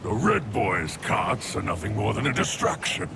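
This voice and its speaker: An actor playing a boisterous, boastful male warrior who wields an axe, in a video game cutscene, with a gravelly voice. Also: lowish voice, big pitch swings, moderate pace, very noisy. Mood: gruff. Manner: bellowing, boastful.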